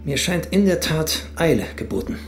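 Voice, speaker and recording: Reserved, skeptical investigating judge. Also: male voice, a little high, big pitch swings, normal speed, creaky, faint music behind. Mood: formal, decisive. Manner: reserved, formal, terse. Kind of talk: German crime radio drama, acted.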